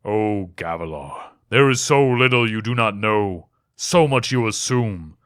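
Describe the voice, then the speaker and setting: A man voicing an ancient, cryptic storm spirit in a fantasy audiobook, slow, solemn and condescending. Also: lowish voice, lively intonation, fairly bright tone, clean tone, no room sound, clean studio sound. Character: ancient storm spirit, cryptic, scornful, all-knowing, male. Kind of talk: dramatic fantasy audiobook reading.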